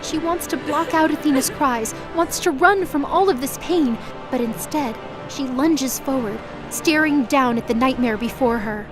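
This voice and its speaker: A woman's voice: sits high, moderate pace, over music. A woman narrating a scripted audio drama with intense, vivid storytelling.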